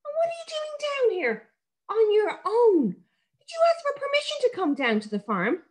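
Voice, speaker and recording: A woman's voice: very high-pitched, very animated, normal speed, pitched above the performer's own voice. A woman voicing a stern farmer father in a storybook, scolding firmly. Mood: cross.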